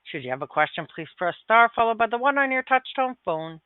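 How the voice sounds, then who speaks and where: A man's voice: very high voice, very animated, brisk, smooth, dry recording. A man working as a conference call operator, reading formal, polite procedural instructions over a phone line. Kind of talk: scripted procedural announcement. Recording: dry narrow-band phone line.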